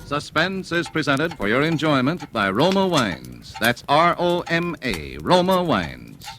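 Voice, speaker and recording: A man announcing a 1940s radio commercial in a smooth, persuasive sales manner. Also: on the high side, a bit bright, a little hiss or hum.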